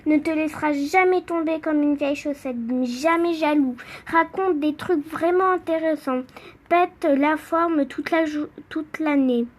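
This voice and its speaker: A young female reader reading a children's book aloud in a halting, playful way, word by word. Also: high-pitched, fairly even intonation. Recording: faint background noise.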